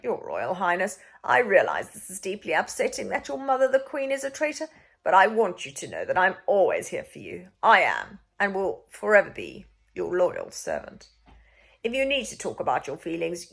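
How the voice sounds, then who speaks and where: A woman's voice: lowish voice, wildly swooping pitch, moderate pace, gravelly, a bit bright, husky, full character voice. A woman voicing a scheming male royal adviser in a children's story, formal, pointed and sanctimonious. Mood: falsely soothing. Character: smooth, insinuating male Lord Protector, scheming royal adviser.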